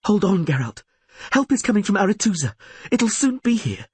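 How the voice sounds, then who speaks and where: A woman's voice: mid-range voice, big pitch swings, moderate pace, darkish tone, breathy edge, dry recording. A woman voicing a desperate young sorceress in an audiobook, pleading urgently. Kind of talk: audiobook character voice.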